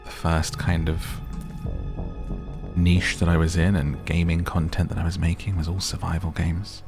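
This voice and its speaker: A man giving relaxed, soothing gameplay commentary in an unhurried, chatty ASMR style. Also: sits low, over music. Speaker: gaming streamer.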